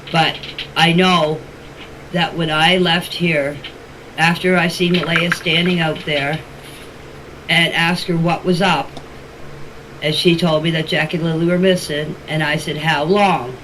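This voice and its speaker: A woman's voice: low voice, steady tone, unhurried, long pauses. Casting: mature woman, plain-spoken and colloquial, recounting events in order, homemade voice-message sound with some noise. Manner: plain, step-by-step telling in colloquial grammar. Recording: noisy voice-message clips.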